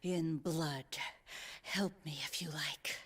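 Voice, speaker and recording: Deadly female warrior, low, slow, icy, hushed threats. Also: fairly even intonation, noisy background. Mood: vengeful.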